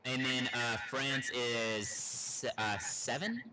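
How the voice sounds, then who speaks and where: A man from Texas co-hosting a podcast, chatting and joking casually in a halting, rambling way. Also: medium pitch. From Texas, United States. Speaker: podcast co-host. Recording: loud background noise on live stream.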